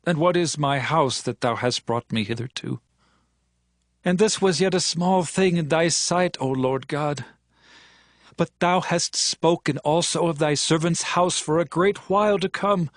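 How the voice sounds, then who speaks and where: A man's voice: fairly high pitch, measured pace, no room sound, clean studio sound, natural voice. A man playing a humble, devout king at prayer in a dramatized audio Bible, reverent and impassioned. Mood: humble, awed. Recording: faint music bed behind voice.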